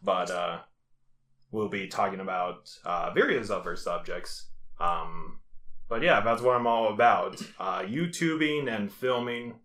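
A young man from the United States in his twenties, with a low but bright, forceful voice. He rambles along in a relaxed way with plenty of ums. The recording picks up a roomy echo. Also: measured pace. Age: 20s. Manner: laid-back, rambling, lots of ums. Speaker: podcast co-host. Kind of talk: casual chat.

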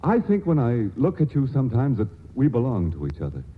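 A shy stammering chemist suitor. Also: male voice, mid-range voice, wildly swooping pitch, fairly quick, slightly rough. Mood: earnest. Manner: hesitant, stammering, earnest. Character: earnest shy male chemist in love. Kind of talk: 1940s radio acting. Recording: muffled old radio broadcast with faint hiss.

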